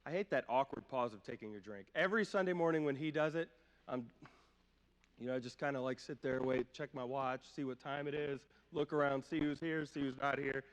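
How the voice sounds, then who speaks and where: A man's voice: medium pitch, a little fast. A man giving an informal, conversational sermon, often checking in with rhetorical questions, his voice slightly off from a cough. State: slight cough, voice a little off from a cold. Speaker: preacher. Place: church hall during a live-streamed service. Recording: long hall reverb, live church stream.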